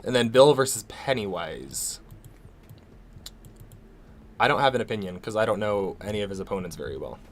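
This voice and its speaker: A man co-hosting a casual livestream Q&A, hoarse from a cold and losing his voice, talking in a rambling way with many ums and restarts. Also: fairly low pitch, expressive, moderate pace, long pauses, slight background noise. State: losing his voice, a little sick. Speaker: co-host of a livestream Q&A.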